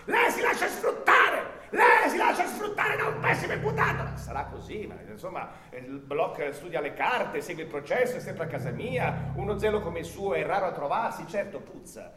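An actor playing a domineering, capricious male lawyer in a radio drama, theatrical, swinging between quiet menace and shrill shouting. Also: big pitch swings, moderate pace, few pauses, crowd noise. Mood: mocking, condescending. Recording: echoey stage sound with music.

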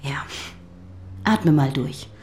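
Firm, concerned schoolteacher. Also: female voice, deep voice, expressive, extremely slow, a little mellow, a little hiss or hum, exaggerated voice. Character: concerned, firm schoolteacher, patient but official, a grown woman. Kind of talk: radio drama acting.

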